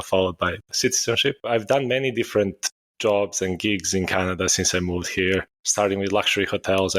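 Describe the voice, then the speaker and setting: A man, a guest answering interview questions in a steady storytelling way. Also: sits low. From Serbia. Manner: steady storytelling, occasional self-corrections. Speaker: guest, startup founder.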